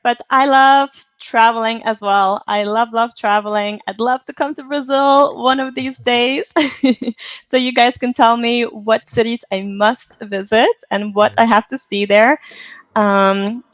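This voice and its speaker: A woman in her thirties from Lithuania, a native Lithuanian speaker, chatting as a guest on a video call, upbeat and quick to laugh. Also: on the high side, fairly bright tone, no room sound. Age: 30s. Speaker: guest, fitness coach. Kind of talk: casual chat on a video call.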